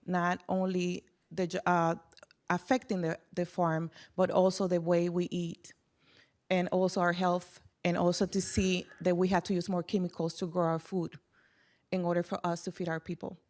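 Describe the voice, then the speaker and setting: Low, even-voiced woman panelist. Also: moderate pace. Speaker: panelist, community health worker. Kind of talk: spoken testimony at a panel.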